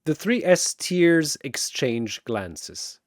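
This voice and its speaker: A man narrates a battle story with theatrical emphasis. His pitch swings widely while the pace stays slow and deliberate, in a very dry recording. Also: big pitch swings, no room sound. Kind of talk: dramatic scripted battle narration.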